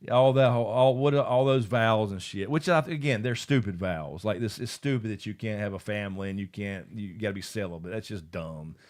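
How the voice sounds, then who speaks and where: A man's voice: fairly low pitch, a little fast, hardly any pauses, darkish tone. Guy hosting a live after-show stream, loose and rambling, swears a lot, laughs easy. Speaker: livestream after-show host. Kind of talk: casual live chat, reading viewer comments.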